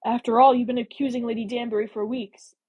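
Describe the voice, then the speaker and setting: A woman voicing an exasperated, insistent gentleman in a Regency romance audiobook, testy and persuasive in manner. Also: on the high side, fairly even intonation, fast talker, husky, narrow, tinny audio.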